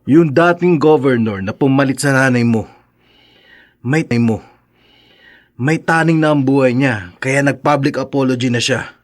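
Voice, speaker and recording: Actor as earnest, loyal male friend, mid-pitched. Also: unhurried, long pauses, lightly acted. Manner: earnest, reassuring. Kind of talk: radio drama acting.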